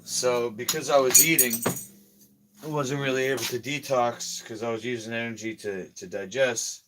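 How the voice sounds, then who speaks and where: A hoarse man talking casually in a slow, rambling health vlog, often trailing off quietly. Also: mid-range voice, steady tone, noisy background. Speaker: health vlogger.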